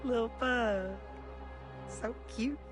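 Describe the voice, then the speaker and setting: A woman's voice: a little high, takes it slowly. A woman acting a tender, nostalgic mother in a reflective video game monologue. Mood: wistful, tender. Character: tender, nostalgic mother remembering her little girl. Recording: loud music over voice.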